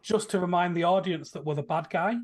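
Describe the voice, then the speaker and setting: A man's voice: high-pitched, fairly quick. A man talking casually and giving his opinions as a panellist on a film podcast.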